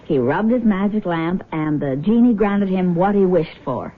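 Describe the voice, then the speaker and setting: An actress playing an affectionate young woman in a radio drama, a devoted daughter who speaks gently and expressively. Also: fairly low pitch, expressive, normal speed. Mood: curious, sympathetic. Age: young adult. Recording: muffled old radio drama recording.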